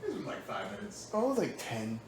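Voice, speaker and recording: A man co-hosting a podcast in casual chat, animated and quick to laugh, his voice rising when he teases. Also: on the high side, slight background noise. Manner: animated, laughs often, raises voice when teasing. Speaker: podcast co-host. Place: studio.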